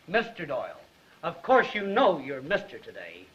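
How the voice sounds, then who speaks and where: A wry, mock-grandiose newspaperman, speaking in a high, lively, bright voice. It comes from a dull, hissy 1930s soundtrack. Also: moderate pace, husky, full character voice. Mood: droll. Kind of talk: 1930s film acting.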